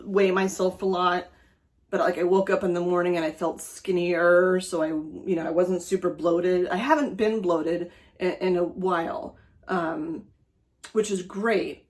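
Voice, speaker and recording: A middle-aged woman raised speaking German, talking in a rambling, chatty way for her personal vlog, with many fillers. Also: fairly low pitch. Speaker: vlogger.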